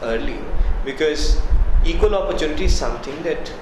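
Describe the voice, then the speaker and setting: Guy talking quick in an interview, bit higher voice, echoey room w/ noise behind. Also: animated. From Pakistan. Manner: earnest, explanatory, often adds "you see". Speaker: university director of student affairs. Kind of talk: interview answers.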